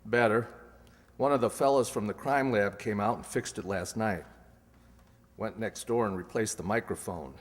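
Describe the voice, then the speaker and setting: Actor as a grumbling stakeout cop, roomy reverb. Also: male voice, lowish voice, normal speed, close to an everyday voice. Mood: sour, weary. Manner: sour, colloquial grumbling. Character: weary, grumbling male police detective on stakeout. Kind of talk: old-time radio police drama acting. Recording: long reverb, hall-like room.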